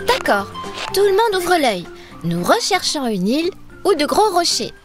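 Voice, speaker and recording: A brave, cheerful young girl adventurer for a kids' cartoon. Her high voice swings lively up and down, upbeat and encouraging. Light music runs beneath her. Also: moderate pace. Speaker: dubbing actress. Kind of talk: French cartoon dub.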